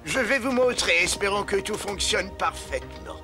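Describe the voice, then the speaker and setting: A man's voice: high-pitched, very animated, moderate pace, over loud music. A man voicing a fussy, theatrical old bearded wizard in a cartoon dub. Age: older. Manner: theatrical, pompous.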